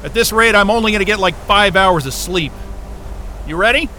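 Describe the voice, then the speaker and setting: An actor playing a stubborn, frayed, sardonic astrophysicist in a naturalistic sci-fi audio drama, talking in a profane, wry, agitated way. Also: male voice, high-pitched, moderate pace, bright, forceful tone, a little hiss or hum. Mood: tense, weary.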